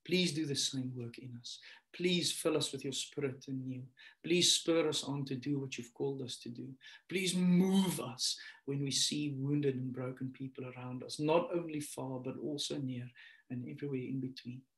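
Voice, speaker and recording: A man in his thirties, a pastor giving a sermon over a webcam from a small room, climbing to loud emphatic peaks and dropping to a soft prayer. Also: mid-range voice, normal speed. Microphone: webcam on a video call. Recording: echoey room.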